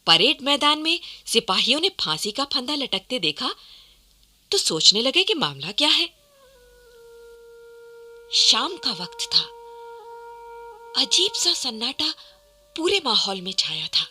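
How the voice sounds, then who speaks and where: A woman's voice: high voice, sing-song, unhurried, pauses often, bright, forceful tone. A woman giving dramatic scripted narration for a radio play, theatrical and emphatic.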